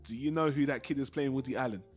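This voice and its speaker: A man's voice: medium pitch, fast talker, breathy edge, faint music behind. A man co-hosting a film discussion show, giving blunt opinions in a casual, sweary style.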